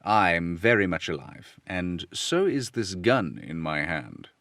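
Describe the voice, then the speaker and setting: A man voicing a calm, menacing male criminal mastermind in an old radio serial, measured and quietly commanding. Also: sits low, dry recording, clean studio sound, pitched below the performer's own voice, heavily acted. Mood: calm menace.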